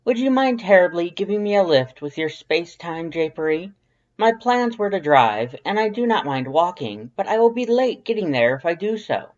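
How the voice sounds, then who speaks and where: A woman's voice: on the low side, sing-song, normal speed, a little mellow, lo-fi sound. A woman voicing a prissy, verbose male skeleton in an audio drama, with a formal, grandiose, carefully enunciated delivery. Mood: polite, upbeat. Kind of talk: fan fiction audio drama acting.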